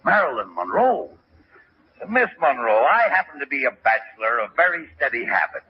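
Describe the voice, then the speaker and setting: An actor playing a silly, lecherous old justice of the peace with a quavering voice in a radio comedy. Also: male voice, medium pitch, big pitch swings, moderate pace, breathy edge. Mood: smitten. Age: older. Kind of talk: 1940s radio comedy acting. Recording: muffled old radio, background hiss.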